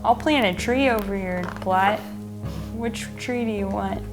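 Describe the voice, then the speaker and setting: A woman's voice: medium pitch, unhurried. A woman speaks in a medium register, in a loose conversational style. She gives frank opinions as a painter appraising images, with faint music playing behind her. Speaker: guest painter reviewing photographs. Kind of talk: casual chat.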